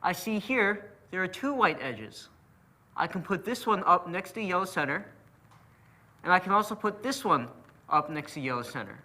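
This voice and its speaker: A man's voice: high-pitched, expressive, moderate pace, pushed and bright. A man explaining a puzzle method as an instructor, patient and methodical in his narration. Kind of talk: step-by-step tutorial narration.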